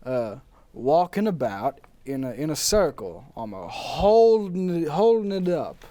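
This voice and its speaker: A man playing a pompous, bemused dead nineteenth-century writer brought back for a comedy talk show, speaking haltingly in wordy, old-fashioned diction. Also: fairly high pitch, big pitch swings, dark tone. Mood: pompous, curious.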